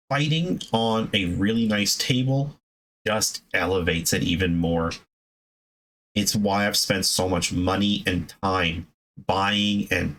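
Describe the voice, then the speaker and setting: Male hobby video host, lower-pitched voice, conversational and emphatic, loose unscripted delivery with rambling asides. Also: fairly bright tone, rough recording. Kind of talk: casual chat, unscripted hobby advice.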